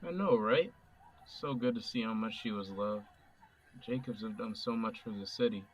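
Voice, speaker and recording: A man's voice: lowish voice, moderate pace, slight background noise, close to an everyday voice. An actor playing a sincere young male police officer who talks casually and earnestly with his best friend. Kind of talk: audio drama acting. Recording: dull, dry, lo-fi recording.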